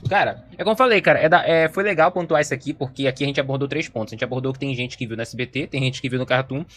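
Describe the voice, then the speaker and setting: A man's voice: medium pitch, rapid-fire, a bit bright. A young man with a Brazilian accent giving casual, opinionated reaction commentary as a host, in a slangy, emphatic, argumentative way. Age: young adult.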